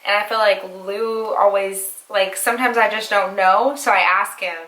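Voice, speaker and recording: A woman's voice: mid-range voice, fairly even intonation, normal speed, a bit bright. A young woman from the United States chatting casually with her husband on her vlog, rambling and laughing often. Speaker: vlogger. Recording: echoey room.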